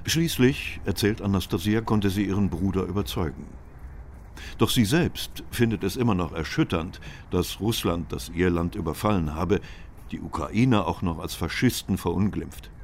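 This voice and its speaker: A man reading scripted documentary narration in a measured, even way. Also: fairly low pitch, a little hiss or hum.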